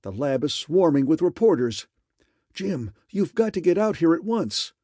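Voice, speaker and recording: Frantic, breathless male scientist pitched high and loud, as a man puts him on in an audiobook. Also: lively intonation, normal speed, breathy edge, dry recording, clean studio sound.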